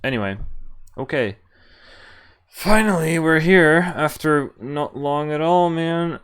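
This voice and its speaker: A man's voice: a little high, lively intonation, slow, fairly bright tone, clear, resonant tone. A man giving casual live commentary as a game streamer, muttering wry, mildly exasperated asides. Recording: music playing behind the voice. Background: video game music.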